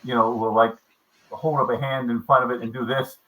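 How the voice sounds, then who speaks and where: A man's voice: mid-range voice, little pitch movement, brisk, bright, forceful tone, low-quality recording. A man in his seventies chatting casually in a meeting, rambling with many restarts and fillers. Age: 70s. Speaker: maker club member. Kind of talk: casual video meeting chat.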